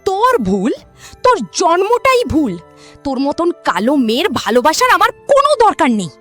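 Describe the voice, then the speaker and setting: A woman's voice: sits high, huge pitch swings, normal speed, a bit bright, faint music behind, exaggerated voice. A woman voicing a spiteful, sneering sister-in-law in a cartoon, scolding and scornful. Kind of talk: cartoon voice acting.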